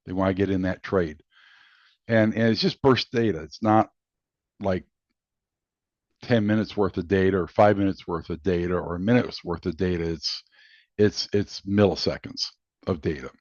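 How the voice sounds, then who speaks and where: A man hosting a hobbyist video, giving casual, unscripted commentary in a halting, rambling way. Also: deep voice, a little mellow, no room sound. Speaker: video host and amateur radio hobbyist. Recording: dull lo-fi sound.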